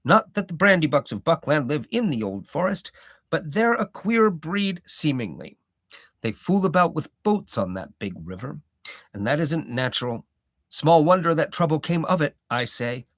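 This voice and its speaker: A man's voice: fairly high pitch, very animated, normal speed, fairly bright tone, no echo, clean studio sound, voice pushed up. A man voicing a garrulous old male hobbit gardener who rambles in a folksy, opinionated way. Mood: fond.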